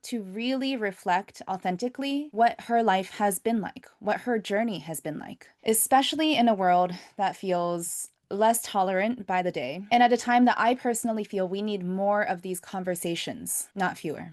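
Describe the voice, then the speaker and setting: A woman hosting a culture-and-language podcast. She reads a thoughtful, earnest introduction, then asks easygoing questions and fills pauses with attentive "mm"s. Her voice is mid-pitched and plain. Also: darkish tone. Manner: thoughtful and earnest, with frequent listening "mm"s. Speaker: podcast host. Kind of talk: scripted intro, then casual interview.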